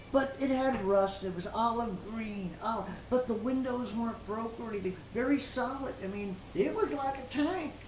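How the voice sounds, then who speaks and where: A slightly high-pitched older woman preaches in an informal, storytelling way. She is folksy and halting, often repeating words and pausing as she goes. The recording is roomy and a little distant from the mic, with faint noise. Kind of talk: informal Bible teaching with storytelling.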